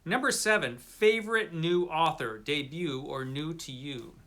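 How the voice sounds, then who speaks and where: A man's voice: fairly high pitch, unhurried, pushed and bright. A middle-aged man talking casually and unscripted to camera as a book vlogger, with a dry, playful manner and a habit of repeating himself.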